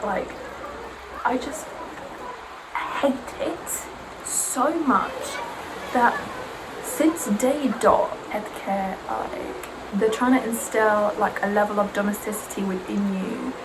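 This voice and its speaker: A woman's voice: mid-range voice, slow pace, noisy background. A young woman whose first language is Serbian, chatting casually and sarcastically while getting ready, often mimicking others. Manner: animated, sarcastic, mimics aunties. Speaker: vlogger.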